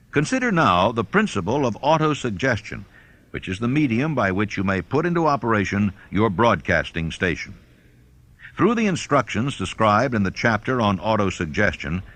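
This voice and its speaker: A man reading a self-help audiobook as narrator, in a measured, instructional manner. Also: on the low side, fairly bright tone.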